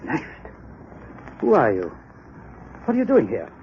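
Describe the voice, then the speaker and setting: A dull, slightly noisy vintage recording of an officious laboratory chief. He speaks at a middling pitch, formal and insistent, in the style of a 1930s radio thriller. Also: huge pitch swings, a little slow. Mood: accusing, urgent. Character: officious, suspicious male sanitarium laboratory chief. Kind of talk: 1930s radio mystery acting.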